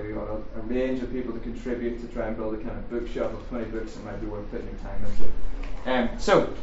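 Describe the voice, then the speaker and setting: A middle-aged man with a Northern Irish accent giving a jokey, fast-paced talk to a live audience, full of ums and questions to the room. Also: mid-range voice. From Northern Ireland. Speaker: speaker at a faith and culture seminar. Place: large echoey room with an audience. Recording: roomy, distant, dull sound with faint hiss.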